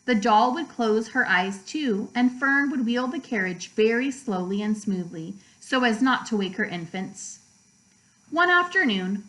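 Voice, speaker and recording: Higher-pitched woman reading a storybook aloud. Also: fairly bright tone, low-quality recording. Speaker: teacher reading a children's book aloud. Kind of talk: storybook read-aloud narration.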